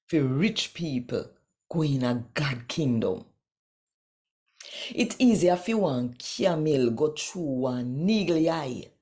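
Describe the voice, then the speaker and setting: A woman with a Jamaican accent, hosting and reading scripture aloud in a deliberate, dramatic storytelling way. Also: sits low, a little mellow. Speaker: channel host and Bible reader. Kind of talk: expressive scripture reading and direct address to viewers.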